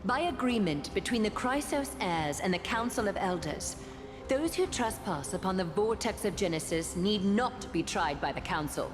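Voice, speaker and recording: A woman's voice: medium pitch, animated, moderate pace, natural voice. Woman playing an imperious ruler in a reverberant hall. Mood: stern. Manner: formal, authoritative. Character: cold, imperious female ruler, stern judge. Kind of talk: dramatic fantasy game acting. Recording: long hall reverb, music behind. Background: music.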